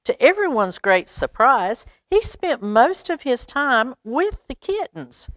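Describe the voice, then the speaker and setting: A woman reads a children's picture book aloud, adding expressive, chatty asides for young listeners. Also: high-pitched, takes it slowly, dry recording, clean studio sound. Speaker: library storytime reader.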